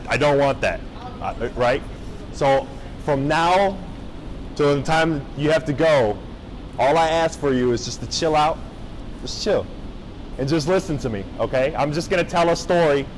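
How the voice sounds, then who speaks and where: A young man from Japan giving a casual motivational talk to high school students, often checking in with the crowd. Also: slight background noise, rough recording. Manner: casual, engaging, checks in with the audience. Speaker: motivational speaker. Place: high school gathering space.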